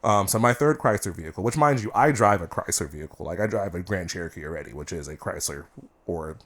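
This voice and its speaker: A man's voice: sits low, expressive, fast talker, fairly bright tone. A man co-hosting a podcast, telling stories in a casual, playful and profane way. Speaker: podcast co-host. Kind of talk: casual chat.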